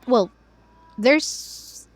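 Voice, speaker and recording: A woman's voice: very slow, no echo. A woman from the Philippines with a Filipino English accent, lecturing on teaching English and filling her talk with "uh" and "okay" checks. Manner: explanatory, frequent fillers and restarts, checks in with "okay". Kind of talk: recorded video lecture.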